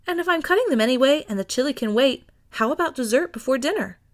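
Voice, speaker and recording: Reader as sweet dreamy woman, dithering, cheerful, higher pitch, easygoing audiobook character. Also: animated, quick pace. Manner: bright-mannered, dithering, teasing.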